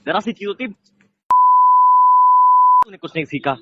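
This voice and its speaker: A man's voice: high voice, animated, measured pace, heavy background noise. A young man angrily scolding someone in a heated, confrontational argument on a recorded phone call. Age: young adult. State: angry.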